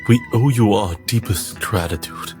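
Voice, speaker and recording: A man's voice: fairly low pitch, normal speed, music in the background, close to an everyday voice. A man voicing a solemn, grateful follower in a tabletop game, speaking formally. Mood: solemn gratitude.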